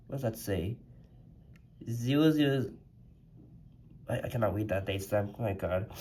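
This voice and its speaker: A man's voice: medium pitch, darkish tone. Slightly congested man chatting casually. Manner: rambling and chatty, lots of "like" fillers. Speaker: toy collector reviewing a custom die-cast car. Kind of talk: casual review vlog.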